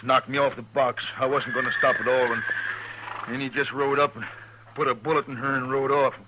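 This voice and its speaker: An American actor playing an angry, blunt, accusing stagecoach driver in a 1950s radio Western. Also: male voice, medium pitch, flat delivery, a little fast, dull audio, lightly acted. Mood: bitter, accusing. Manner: blunt, insistent. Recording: noisy 1950s radio recording.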